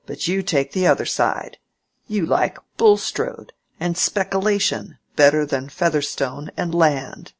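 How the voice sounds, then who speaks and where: A domineering old landowner with plain country speech, read aloud by an audiobook narrator. He grumbles and bargains in a louder, harder voice than the narration around him. His tone is crafty and scolding. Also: mid-range voice, unhurried. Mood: gruff bargaining. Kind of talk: audiobook character reading.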